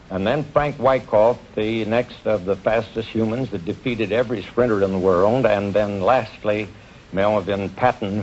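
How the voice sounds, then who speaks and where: Earnest older coach, folksy. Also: male voice, fairly low pitch. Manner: earnest, folksy, halting with uhs. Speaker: track coach, quiz contestant. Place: TV studio stage. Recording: dull 1950s TV audio with hiss.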